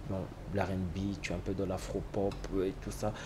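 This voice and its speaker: A man hosting a TV interview, speaking in a halting way with many hesitations. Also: sits low, flat delivery, noisy background. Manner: halting, many "euh" fillers. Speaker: TV show host.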